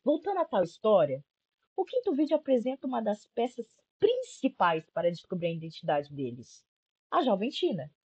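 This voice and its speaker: A young Brazilian woman hosts a casual commentary video. Her voice is husky and swings widely in pitch as she talks in an informal, sarcastic way. The recording is a little dull and lo-fi. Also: big pitch swings, normal speed, warm tone. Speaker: YouTube commentary host. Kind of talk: casual video-essay commentary recapping a horror series.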